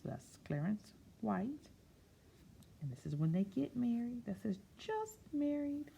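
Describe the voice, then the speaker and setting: A woman's voice: a little high, very animated, measured pace, vocal fry, warm tone, slight background noise. A woman reading a picture book aloud to children, drawing out phrases expressively and breaking off into simple, casual explanations. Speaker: children's storyteller.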